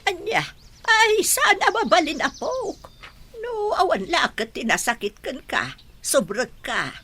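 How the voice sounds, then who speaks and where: A slow-talking, doting old grandmother. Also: very high voice, sing-song, pushed and bright, exaggerated voice. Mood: fussing, caring. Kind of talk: radio drama acting.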